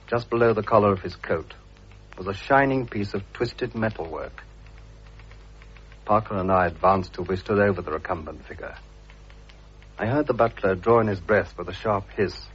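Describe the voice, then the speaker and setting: A man reads a fiction excerpt aloud, hushed and grave. His voice is very low and heavily raspy. It comes from a muffled vintage radio recording. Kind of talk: dramatic reading of a novel excerpt. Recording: dull old radio recording.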